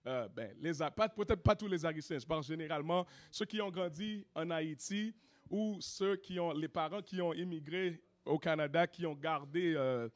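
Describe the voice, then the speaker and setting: A man's voice: a little high, normal speed. A man with a Haitian French accent, a rapper and singer, talking casually in an interview and mixing in English with lots of "you know what I mean". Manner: laid-back, peppers speech with "you know what I mean".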